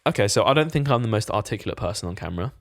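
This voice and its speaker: A man co-hosting a podcast, chatting casually and quickly, rambling a little and swearing freely. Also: on the low side, lively intonation. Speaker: podcast co-host, fitness coach.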